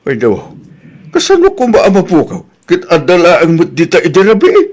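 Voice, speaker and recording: Male actor as older husband, Ilocano accent, swooping pitch, skeptical, blunt, exasperated, comedy drama. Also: huge pitch swings, moderate pace, darkish tone, heavily acted.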